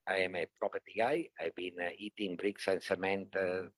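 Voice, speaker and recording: Male panelist in his sixties or older, Italian accent, lowish pitch, halting and jokey conversational delivery, dry sound. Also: fairly even intonation, fairly bright tone, no echo. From Italy. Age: 60s or older. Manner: jokey, halting with many pauses. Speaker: panelist, property entrepreneur. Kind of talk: casual self-introduction to a live audience.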